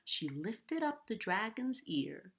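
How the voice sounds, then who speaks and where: A woman's voice: medium pitch, very animated, takes it slowly, vocal fry, a bit bright, breathy edge. A woman reading a children's story aloud expressively, stretching key words for dramatic emphasis. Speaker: storybook reader.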